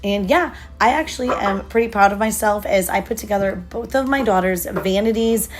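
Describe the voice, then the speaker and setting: Woman, thirties, hoarse voice, chatty upbeat voiceover, mid-pitched. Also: moderate pace, noisy background. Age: 30s. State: hoarse, losing her voice. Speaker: lifestyle vlogger. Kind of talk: casual voiceover narration.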